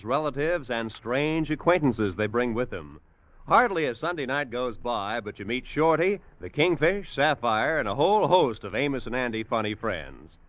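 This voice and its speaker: A man's voice: medium pitch, fairly bright tone, dry recording. A man announcing a network promo in polished, emphatic 1950s American radio style. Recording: dull vintage radio recording.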